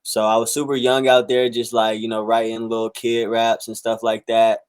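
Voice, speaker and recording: A man in his twenties from South Carolina, a musician talking casually in an interview, relaxed and full of fillers. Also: mid-range voice, moderate pace, smooth, no echo. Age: 20s. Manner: laid-back, many fillers like "um", "like" and "bro". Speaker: musician guest.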